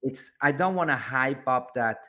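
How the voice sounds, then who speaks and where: A man's voice: medium pitch, animated, moderate pace, sharp tone. A man from Switzerland, chatting casually as an interview guest. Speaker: podcast guest, agency entrepreneur.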